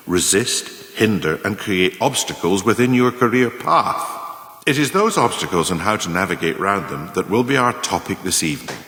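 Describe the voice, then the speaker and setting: Radio play lecturer, played by a male actor: low voice, animated intonation, formal and calculating instruction. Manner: measured, didactic, formal, rhetorical. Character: male lecturer coolly teaching scheming career advancement. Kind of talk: scripted radio monologue as a lecture. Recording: echoey room with long reverb.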